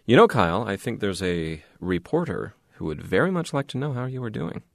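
A man's voice: lowish voice, sing-song, brisk, creaky, no room sound. An actor playing a loyal, teasing male friend in an audio drama. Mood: reassuring.

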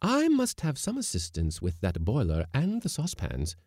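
A male narrator plays a middle-aged woman cook in a sea story. She is unflappable and bossy, pitched up from his own voice, with lively swoops. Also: moderate pace, dry recording, clean studio sound, heavily acted. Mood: decided. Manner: calm, decided.

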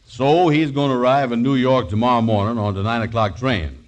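An actor playing a worried, slow-witted scheming man in a 1940s radio comedy, with a folksy drawl, ungrammatical talk and mangled big words. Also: mid-range voice, natural voice. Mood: explaining, sly. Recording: old 1940s radio recording.

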